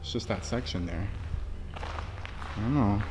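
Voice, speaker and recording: A man's voice: fairly low pitch, slow pace, noisy background. Nervous young man rambling in a basement. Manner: rambling, nervous, hushed, lots of "like". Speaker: vlogger. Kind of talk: casual handheld walkthrough vlog. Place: empty concrete basement, large indoor space. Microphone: handheld phone camera. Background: faint music.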